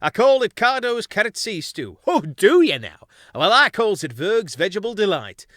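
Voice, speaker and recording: A man voicing a crew of cheeky young mouse sailors squabbling over a stew pot in a storybook, in high, rowdy voices. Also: very animated, moderate pace, fairly bright tone, no echo, studio quality. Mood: arguing. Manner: squeaky, rowdy, working-class banter.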